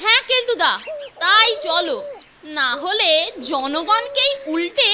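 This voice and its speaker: A woman's voice: extremely high pitch, lively intonation, slow pace, heavy background noise, full character voice. A woman voicing a whiny young schoolboy sidekick in a cartoon, talking in pleading exclamations. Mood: weary.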